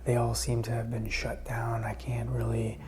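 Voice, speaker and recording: A man playing a calm, helpful survivor in a zombie apocalypse ASMR roleplay, whispering and speaking softly in a hesitant, intimate, musing way. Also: mid-range voice, moderate pace, background noise, lightly acted. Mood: resigned, wry.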